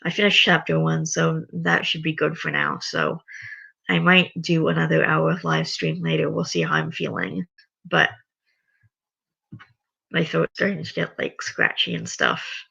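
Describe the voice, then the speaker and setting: A livestreamer reading a story aloud and chatting to viewers, voice going raspy. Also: medium pitch, sing-song, measured pace, sharp tone, highs cut off. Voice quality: voice getting raspy. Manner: rambling, self-correcting. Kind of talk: reading a story aloud, then casual chat.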